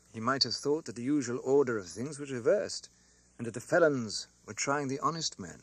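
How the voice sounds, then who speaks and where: Bright-voiced man narrating a classic novel. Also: mid-range voice, no room sound. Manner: theatrical, expressive delivery. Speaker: audiobook narrator.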